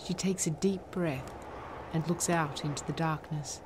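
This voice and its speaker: Low-pitched woman narrator. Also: a little slow, noisy background. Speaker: narrator reading stage directions. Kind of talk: scripted narration.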